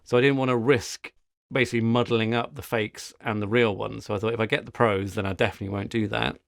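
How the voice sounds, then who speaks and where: A man's voice: lowish voice, level tone, fast, vocal fry, dry recording, clean studio sound. A man co-hosting a podcast, telling a story in casual, rambling chat with frequent restarts. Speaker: podcast co-host.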